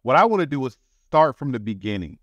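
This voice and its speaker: A man's voice: mid-range voice, very animated, quick pace, no room sound, lo-fi sound. A man in his thirties hosting a podcast, asking questions in a conversational interview style. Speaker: podcast host. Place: indoor room, video call.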